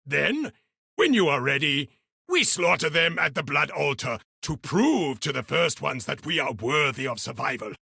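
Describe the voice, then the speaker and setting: Game casting: eccentric, cheerfully mad beast hunter; high, wildly swinging pitch, theatrical and exuberant. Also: male voice, very animated, moderate pace, creaky, sharp tone, slightly rough, dry recording, clean studio sound, full character voice. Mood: earnest, musing. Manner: third-person self-talk, theatrical, exuberant delivery. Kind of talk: video game character voice lines.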